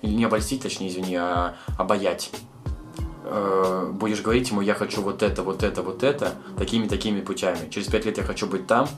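Fast-talking young man lecturing on a podcast. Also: low voice, fairly even intonation, music in the background. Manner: lecturing, quick and provocative. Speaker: podcast co-host. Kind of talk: casual podcast chat.

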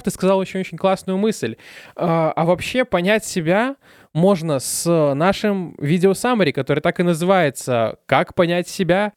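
Lively young man, dry close mic. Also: fairly high pitch, no room sound. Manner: lively, animated storytelling with laughs. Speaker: podcast co-host. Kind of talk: casual podcast chat with sponsor read.

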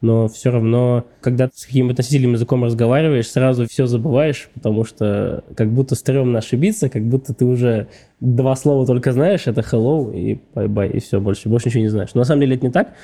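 Guy rattling along fast on a podcast, very dark mellow tone, hedges a lot. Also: mid-range voice. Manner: quick, hedging, thinking aloud. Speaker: podcast guest. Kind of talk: casual podcast interview talk.

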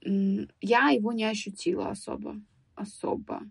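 A young woman guest on a podcast, recalling her school years in a thoughtful, hesitant way with many pauses and restarts. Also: mid-range voice, fairly even intonation, vocal fry, husky, dry recording. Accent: Russian speaker living in England. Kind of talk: casual podcast interview.

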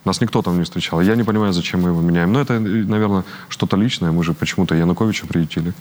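A man in his thirties from Ukraine, speaking as an interview guest in an earnest, halting way with frequent restarts. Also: low voice, darkish tone. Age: 30s. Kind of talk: interview conversation.

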